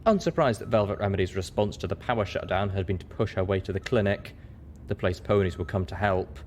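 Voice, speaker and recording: A man's voice: low voice, brisk, a little mellow, a little hiss or hum. A man reading scripted first-person fiction as the narrator of an audio story.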